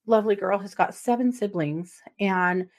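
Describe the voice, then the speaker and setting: A woman's voice: mid-range voice, moderate pace. A middle-aged woman co-hosting a podcast, chatting casually and then recounting a true crime case with plenty of fillers and asides. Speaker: podcast co-host.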